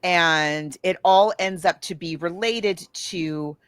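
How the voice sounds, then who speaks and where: A woman's voice: on the low side, slow pace, fairly bright tone, clean tone, dry recording. An American woman co-hosting a podcast, telling stories in a casual, conversational way and often backtracking as she goes. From United States. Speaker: podcast co-host. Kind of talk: casual book and film discussion.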